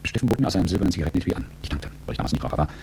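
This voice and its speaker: A low, quick-spoken man in a radio drama, a calculating and world-weary executive looking back on his life. His telling is articulate and reflective, with a gravelly edge. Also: big pitch swings, a little mellow, in a whisper, exaggerated voice. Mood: reflective, sober. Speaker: narrator and lead character. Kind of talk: radio drama first-person narration.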